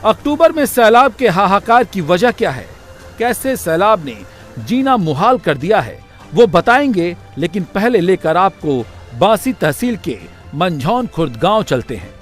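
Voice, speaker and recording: Male news narrator, high and very animated, theatrical emphasis, music bed underneath. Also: a little slow. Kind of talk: dramatic scripted news voice-over.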